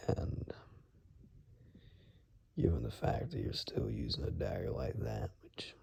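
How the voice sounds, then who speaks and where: A man playing a gruff, protective forest dweller in an ASMR roleplay, speaking softly and huskily in a hesitant murmur, often whispering. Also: rumbling low, big pitch swings, slow pace, full character voice. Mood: cautious, warning. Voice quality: soft, breathy, husky, often whispered.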